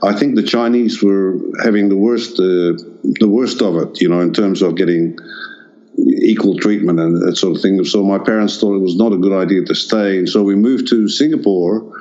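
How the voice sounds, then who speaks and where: A man's voice: low voice, normal speed, dark tone, dull audio. An older man born in the Netherlands whose first language is Dutch, answering interview questions hesitantly, with many restarts and fillers. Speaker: artist guest.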